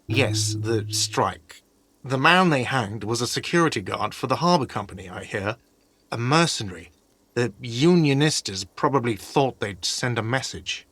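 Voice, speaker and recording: Bright-voiced, exasperated hostel manager. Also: male voice, mid-range voice, expressive, normal speed, dry recording. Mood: uneasy, evasive. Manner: sarcastic, irritated, condescending. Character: exasperated, sarcastic male hostel cafeteria manager. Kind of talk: video game voice acting.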